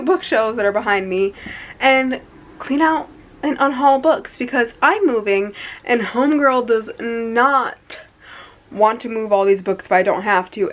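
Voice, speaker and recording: A young woman with a congested, sniffly voice, chatting blunt and playful to camera about her bookshelves in her bedroom. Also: a little high. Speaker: booktuber host. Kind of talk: casual chat to camera.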